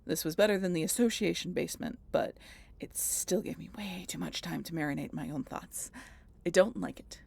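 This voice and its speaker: A woman's voice: fairly low pitch, normal speed, gravelly, darkish tone, slightly rough, full character voice. A woman playing a snarky, teasing female vampire in an audio roleplay, talking to the listener in a wry, conversational way. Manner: sardonic, wry, playful, conversational. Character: snarky, swearing female vampire road-tripper, teasing and dramatic.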